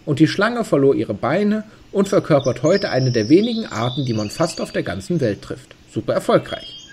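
A man's voice: on the high side, moderate pace, a little hiss or hum. A man playing a reluctant, irreverent narrator reading a mock storybook tale. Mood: mock-solemn, flippant. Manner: animated, irreverent.

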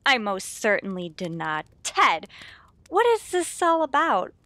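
A woman's voice: mid-range voice, big pitch swings, measured pace, fairly bright tone, dry recording. A woman playing a secretive, sweetly domestic suburban wife in a radio play. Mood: defensive.

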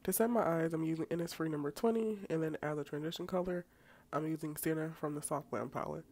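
Low-voiced congested young woman vlogger. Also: quick pace, darkish tone. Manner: chatty, self-mocking asides. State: congested from a cold. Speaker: beauty vlogger. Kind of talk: casual makeup tutorial voice-over. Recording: faint background music.

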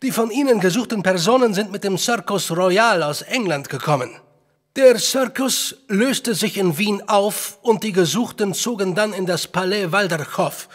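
A dutiful captain with a Bohemian Czech accent in an audio drama. His voice is high and husky. He delivers reports in a formal, deferential manner. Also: moderate pace. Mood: deferential, official.

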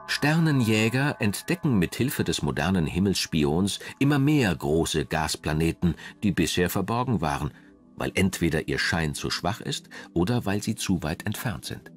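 A man reading scripted documentary narration in a measured, polished way. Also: deep voice, big pitch swings. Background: background music.

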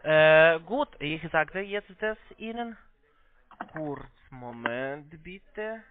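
A non-native speaker, a man with a foreign accent and halting, broken grammar, giving a phone sales pitch. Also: lively intonation, crowd noise. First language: not German. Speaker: call-centre investment salesman. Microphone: telephone. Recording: muffled band-limited phone line.

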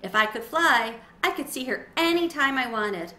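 Grown woman doing eager little schoolgirl from a storybook, high bright voice, echoey room. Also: animated, normal speed. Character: eager, earnest young schoolgirl. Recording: roomy echo, faint background noise.

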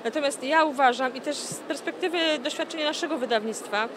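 A woman's voice: sits high, brisk. A woman in her thirties, a nun, answering an interview at a book fair stand, earnest and full of hesitant fillers. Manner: earnest, many "e" fillers and "tak?" tags. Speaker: nun from a publishing house. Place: busy indoor book fair hall. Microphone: handheld interview mic. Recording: noisy book fair hall.

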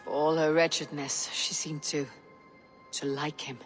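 A woman playing a loyal, earnest young Viking warrior in a video game, with a low, husky voice. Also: flat delivery, measured pace, faint music behind. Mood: gentle concern.

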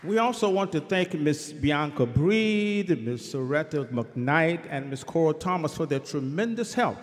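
Man, choir director, earnest and gracious, slightly high voice, long chapel reverb, audience and music faint behind. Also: animated, a little slow, a faint crowd, slight background noise. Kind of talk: formal thank-you remarks at a church service. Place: large chapel before an audience.

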